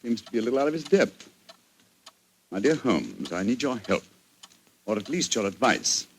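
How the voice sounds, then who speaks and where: An actor playing a cool, amused English detective, crisp and wry, with a British accent in 1940s radio style. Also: male voice, medium pitch, sing-song, moderate pace. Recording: noisy vintage 1940s radio recording.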